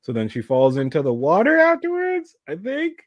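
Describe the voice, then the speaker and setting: A man's voice: high-pitched, wildly swooping pitch, normal speed, dry recording, dull audio. A man co-hosting a casual video-call chat about a cartoon, slangy and joking, often with brief interjections. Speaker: co-host. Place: indoor room lined with boxed figures, on a video call.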